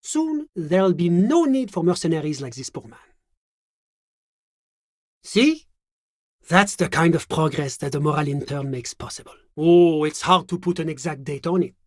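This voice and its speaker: An actor playing a genteel, smug bureaucrat in a video game, a devoted moralist who speaks in polite, officious, measured formal phrases. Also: male voice, fairly high pitch, animated, dry recording, studio quality. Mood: prim, officious. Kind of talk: scripted game dialogue.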